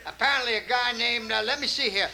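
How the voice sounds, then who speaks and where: A man playing a hesitant, fumbling news reporter in a comic radio play, halting and stammering. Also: very high-pitched, creaky, noisy background. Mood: nervous, halting.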